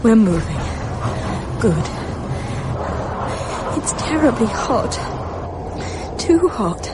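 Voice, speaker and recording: High, halting, anxious woman. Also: big pitch swings, exaggerated voice. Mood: panicked, distressed. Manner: fretful, halting, talking to herself. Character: anxious, claustrophobic woman passenger, genteel, fretfully reassuring herself. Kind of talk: radio drama interior monologue. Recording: noisy train rumble behind the voice.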